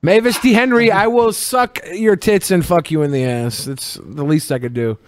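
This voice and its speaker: A man's voice: sits high, moderate pace, no echo. A man co-hosting a podcast, bantering casually and reading out chat messages in a crude, jokey way. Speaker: podcast co-host.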